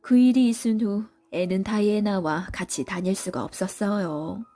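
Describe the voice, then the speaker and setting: A woman's voice: medium pitch, normal speed, dark tone, soft background music. A woman reading scripted narration for a children's story as a storybook narrator.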